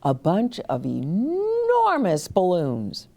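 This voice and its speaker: A woman storyteller reading a picture book aloud to young children, animated, with chatty explanatory asides. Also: lowish voice, slow.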